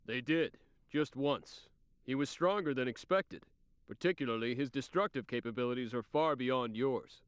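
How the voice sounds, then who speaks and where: A man voices a frank, easygoing ally in a video game. His voice is clean, resonant and mid-pitched, casual and matter-of-fact. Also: moderate pace, clean tone, no echo, close to an everyday voice. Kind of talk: video game cutscene acting.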